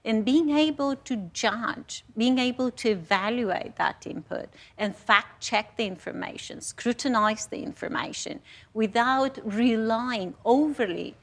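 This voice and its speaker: A woman speaking as a faculty panelist in a measured, cautionary way. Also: on the high side, fairly bright tone. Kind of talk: panel discussion.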